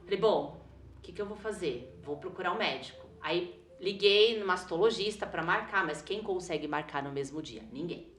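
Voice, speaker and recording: A woman with a São Paulo accent telling her story as a guest in a casual interview, lively and quick to laugh. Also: on the low side, roomy sound. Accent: São Paulo Portuguese. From São Paulo, Brazil. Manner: animated, laughs often, acts out quotes.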